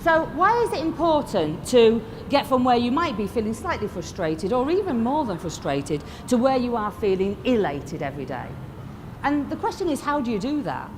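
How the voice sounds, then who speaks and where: A woman in her fifties with a Yorkshire accent, giving a blunt, lively motivational talk to a live audience. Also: a little high, moderate pace, background noise. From Lancashire, England. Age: 50s. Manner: lively, blunt and swearing, asks the crowd questions. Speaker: motivational speaker. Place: expo stage before a live audience.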